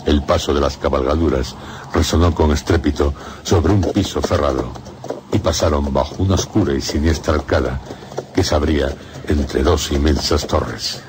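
A man narrating a gothic horror radio drama in a solemn, measured way. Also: rumbling low, steady tone, background noise. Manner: solemn, measured storytelling in short phrases. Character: grave male narrator of a gothic horror tale. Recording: music under the voice.

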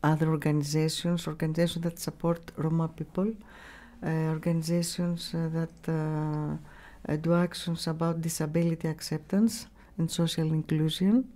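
A middle-aged woman with a Greek accent, giving halting, unscripted interview answers as she searches for words. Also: low voice, flat delivery, dark tone. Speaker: radio station staff member, interviewee. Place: small room, radio studio. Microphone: studio mic on boom arm.

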